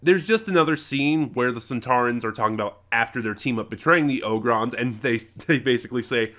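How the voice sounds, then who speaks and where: A man reviewing in a casual, unscripted way, opinionated and rambling, halting and correcting himself as he goes. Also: mid-range voice, a bit bright. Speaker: reviewer, channel host.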